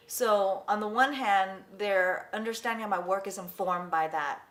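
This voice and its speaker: A woman's voice: mid-range voice, normal speed, a bit bright. A woman in her forties, born in Vietnam and raised in Los Angeles, reflecting thoughtfully in an oral history interview as an artist. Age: 40s. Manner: thoughtful, with frequent restarts. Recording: echoey room, faint background noise.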